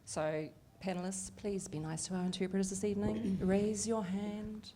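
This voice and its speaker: A woman voicing a Deaf host live at an evening event, lively and chatty with frequent fillers. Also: mid-range voice, normal speed, background noise. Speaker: sign language interpreter voicing the Deaf host. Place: event venue with an audience.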